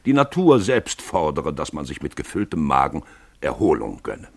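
A man with a deep, husky voice narrates a literary radio play. His delivery is theatrical, with wide swings of intonation. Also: normal speed, no room sound. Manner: expressive, theatrical storytelling.

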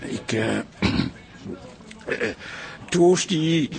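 An actor playing a gentle, awkward, worried husband in a Dutch radio play, speaking in a halting, reluctant way. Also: male voice, on the high side, expressive, creaky, exaggerated voice. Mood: troubled, hesitant. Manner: hesitant, halting.

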